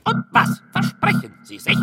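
A woman playing a prim, articulate commentator in a satirical science fiction radio play, over loud music. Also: moderate pace, natural voice. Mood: measured, prim. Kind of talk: stylised radio play speech.

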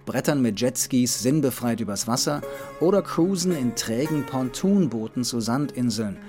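A male narrator reads a scripted travel documentary at a middle pitch. Music plays clearly behind his voice, and he speaks as the traveler telling his own journey. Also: expressive, normal speed. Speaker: narrator and traveling paddler reporter.

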